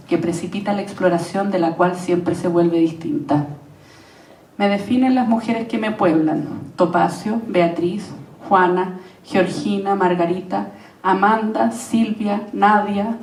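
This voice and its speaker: A Chilean playwright and actress reading a prepared text at a panel, measured and reflective, pausing through long lists. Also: female voice, mid-range voice, darkish tone, recorded from a distance. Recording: echoey room, voice from off-mic.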